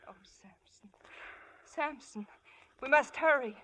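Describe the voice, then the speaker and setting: Passionate, forceful temptress in radio drama. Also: female voice, high voice, takes it slowly, full character voice. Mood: pleading. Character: passionate seductress, pleading lover, imperious and jealous. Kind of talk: 1950s radio drama acting.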